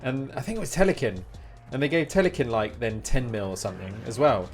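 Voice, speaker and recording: A man's voice: medium pitch, fast talker. A man chatting casually and replying to viewers as he live streams a game. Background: music.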